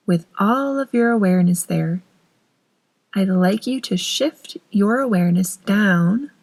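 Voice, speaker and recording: A woman narrating a guided meditation in a soothing, unhurried manner with long pauses between phrases. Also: medium pitch, darkish tone, slightly rough, poor audio.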